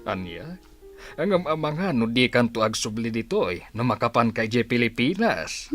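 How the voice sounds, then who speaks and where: A man's voice: medium pitch, big pitch swings, moderate pace, a bit bright, no room sound, soft background music. A man with a Filipino accent playing a stern, exasperated middle-aged father in an audio drama. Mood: stern, scolding.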